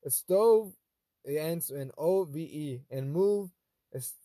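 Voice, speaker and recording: A young man with a Spanish accent hosts a casual solo talk, his first language being Spanish. His voice sits slightly high and sounds mellow, recorded close and dry. He speaks thoughtfully, pausing and restarting phrases as he works out his point. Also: dry recording. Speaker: host. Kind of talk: casual monologue.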